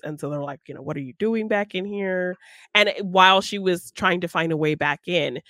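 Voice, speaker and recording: A woman's voice: sits low, fairly bright tone, no echo. Woman chatting casually in a dry, close sound. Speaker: podcast co-host. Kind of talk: casual film discussion.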